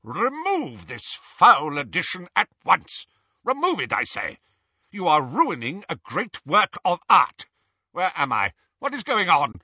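A male narrator performs a sneering old professor living in a portrait, husky and swooping in pitch. He speaks with formal, indignant pomposity, as in a storybook read aloud. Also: wildly swooping pitch, a little slow, bright, forceful tone, dry recording, dull audio, heavily acted. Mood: indignant, shrill.

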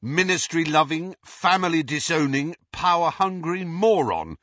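A man's voice: on the high side, expressive, measured pace, fairly bright tone, dry recording, highs cut off, pitched above the performer's own voice. A man voicing a cheeky, bold teenage lad in an audiobook, teasing and mocking.

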